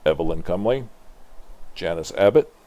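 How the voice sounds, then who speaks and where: A man's voice: low voice, expressive, moderate pace, warm tone. An older man from Canada reading a comic book aloud as a dramatic storyteller.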